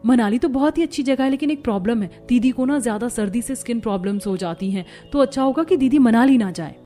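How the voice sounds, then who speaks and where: Woman voicing a scheming, whiny young wife, high-pitched. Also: fast talker, dark tone, faint music behind, natural voice. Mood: sweetly coaxing. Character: scheming, jealous young newlywed wife, sweetly deceitful. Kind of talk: animated family drama dialogue.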